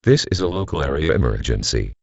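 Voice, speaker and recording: A man playing a grave government announcer, reading a scripted emergency bulletin in a formal, measured, solemn manner. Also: extremely low pitch, sing-song, breathy edge, no room sound, muffled recording, full character voice. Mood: grave, official. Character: grave male government civil defense announcer.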